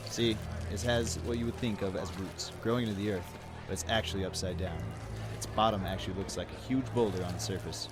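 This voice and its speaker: A man's voice: low-pitched, steady tone, fairly quick, close to an everyday voice. An actor playing a knowing man who explains local lore in a folksy way in a fantasy audio drama.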